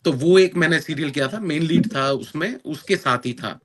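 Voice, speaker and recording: A man's voice: on the high side, normal speed, fairly bright tone, slight background noise. A man in his thirties who is an actor, answering interview questions earnestly with an Indian accent, mixing in English phrases and using many fillers. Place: lives in London.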